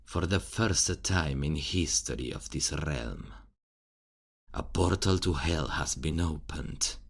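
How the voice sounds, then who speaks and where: Man acting a very deep, solemn knight. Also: creaky, slightly rough, heavily acted. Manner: solemn, formal, deliberate. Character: grave knight reporting war to the royal council. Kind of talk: fantasy audio roleplay acting.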